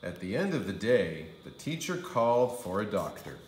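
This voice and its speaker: Animated low-voiced man reading a storybook. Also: moderate pace, roomy sound. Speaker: kindergarten teacher reading aloud. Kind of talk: animated storybook narration for young children.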